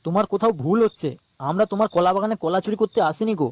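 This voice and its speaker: A man's voice: sits high, very fast, slight background noise, close to an everyday voice. A man with a West Bengali accent voicing a chatty, placating young village slacker in a comic cartoon.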